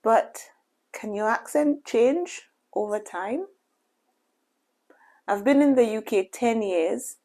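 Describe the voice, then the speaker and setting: A woman from Lucia, a doctor giving measured, reassuring career advice in a casual vlog, often saying "you know.". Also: a little high, dark tone.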